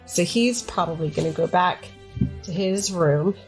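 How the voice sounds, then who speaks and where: A woman's voice: fairly low pitch, unhurried, faint music behind. A woman chatting casually as a player in a tabletop role-playing game. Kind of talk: casual chat.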